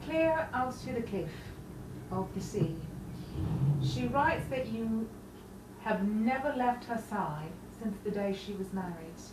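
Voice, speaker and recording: An actress playing a solemn, burdened sister bearing tragic news, with a grave, measured theatrical delivery. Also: female voice, on the high side, lively intonation. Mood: grave, sorrowful. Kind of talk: 1950s-style romantic drama acting. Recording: roomy, distant, clearly noisy stage sound.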